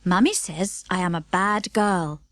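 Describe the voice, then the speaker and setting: A woman voicing a gentle, motherly bear in a children's storybook, speaking with careful deliberation. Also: fairly low pitch, unhurried, no room sound, lightly acted. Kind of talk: children's storybook character reading.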